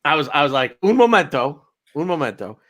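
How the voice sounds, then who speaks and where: A man's voice: a little high, very animated, a little fast, a bit bright. A man in a casual chat show, telling an anecdote and restarting his sentences. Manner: anecdotal, repeats words as he starts. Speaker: podcast co-host.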